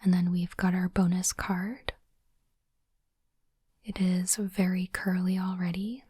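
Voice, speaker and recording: A woman doing ASMR in a soft, breathy whisper, speaking in a hushed, deliberate way as she hosts an unboxing. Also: fairly low pitch, little pitch movement, no echo, studio quality. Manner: slow, deliberate, hushed delivery. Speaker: host of ASMR card unboxing channel. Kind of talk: ASMR whispering, close and gentle narration.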